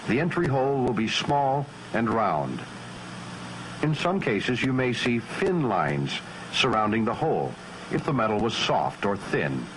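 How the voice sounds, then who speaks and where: An American man narrating a military training film in a formal, scripted style, measured, precise and matter-of-fact. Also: mid-range voice, a bit bright, slight background noise, poor audio.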